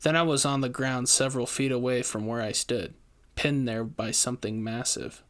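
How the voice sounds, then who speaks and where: A man's voice: mid-range voice, steady tone, moderate pace, a bit bright. Man narrating a first-person horror tale. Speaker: storyteller.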